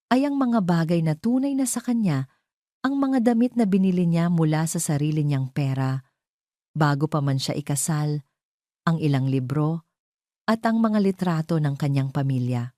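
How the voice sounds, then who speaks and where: A woman storyteller with a low, mellow voice and a dry, close sound. She narrates a dramatic romance in a measured, solemn way. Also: dry recording.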